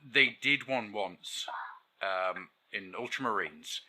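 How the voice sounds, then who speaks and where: A man's voice: on the low side, slow, noisy background. A man co-hosting a casual podcast, talking in a chatty, reflective way and laughing easily.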